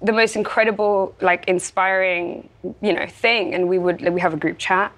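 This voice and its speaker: A young woman, chatting as a guest in an interview, animated and laughing often. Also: on the low side, normal speed. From South Africa. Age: young adult. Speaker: actress guest. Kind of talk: casual interview chat.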